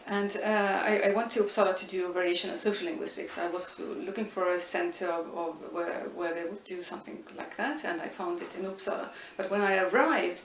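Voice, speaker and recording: A middle-aged woman, thoughtfully answering interview questions with many pauses and restarts. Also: fairly low pitch. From Estonia. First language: Estonian. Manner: thoughtful, frequent hesitations and restarts. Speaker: interviewee, linguistics professor. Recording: echoey room, distant mic, faint noise.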